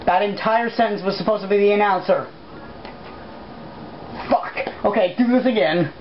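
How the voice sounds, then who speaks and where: A woman's voice: mid-range voice, normal speed. A woman doing a casual amateur read-aloud of fan fiction, informal and self-deprecating, stumbling over words and breaking off for asides. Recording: dull lo-fi mic with clear background noise.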